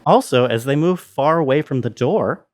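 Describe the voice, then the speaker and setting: A man's voice: medium pitch, expressive, normal speed, slight background noise. A man explaining sound design step by step in an informal tutorial style, often dropping in "you know" as he goes. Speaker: sound designer presenting a walkthrough.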